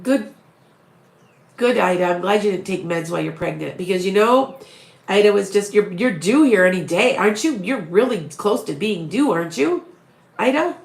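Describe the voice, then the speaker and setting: A woman with a raspy voice and a head cold, chatting to her viewers on a live stream in a rambling way, often starting her sentences over. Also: medium pitch, lively intonation, moderate pace, roomy sound. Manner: rambling, restarts often, talks straight to viewers. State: head cold, sniffly and short-winded. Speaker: psychic medium live-streaming to followers. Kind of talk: casual live-stream chat.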